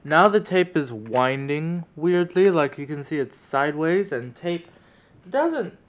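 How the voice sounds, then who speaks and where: A man's voice: fairly high pitch, big pitch swings, measured pace. A man presenting a casual hands-on experiment, thinking aloud as he demonstrates. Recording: roomy echo, noticeable background noise.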